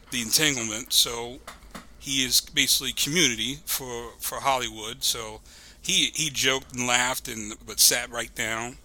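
A man's voice: mid-range voice, sharp tone, slight background noise. A man who is a podcast guest, telling a story casually in a rambling, digressive way.

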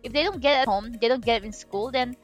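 A woman's voice: on the high side, fast talker, soft background music. A woman from the Philippines, a financial coach chatting casually as an interview guest and often restarting her phrases. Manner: animated, chatty, frequent restarts and "you know".

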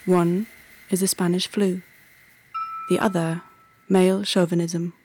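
Calm, low-voiced woman narrator. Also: slight background noise. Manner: calm, measured. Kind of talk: scripted radio play narration.

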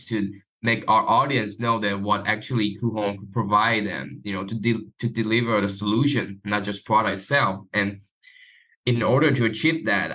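A man's voice: fairly low pitch, pushed and bright, poor audio. A man from Taiwan, a guest chatting casually in an interview. His phrasing is loose and sprinkled with "you know". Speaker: guest, marketing professional.

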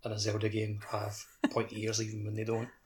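A man's voice: fairly low pitch, monotone, a little fast, slightly rough. A man chatting casually on a podcast, with hesitant, loosely strung phrasing.

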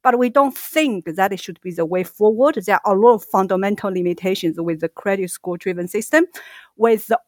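A woman from China speaks as a company co-founder in an interview, measured and steady with the odd filler. Her voice sits at a middling pitch, and the sound is close and dry. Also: no room sound. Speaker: COO and co-founder, guest. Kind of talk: conversational interview answers.

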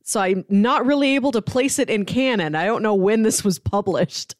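A woman's voice: mid-range voice, moderate pace, no echo. Young woman chatting, close dry sound. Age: young adult. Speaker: podcast co-host. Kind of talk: casual conversational review.

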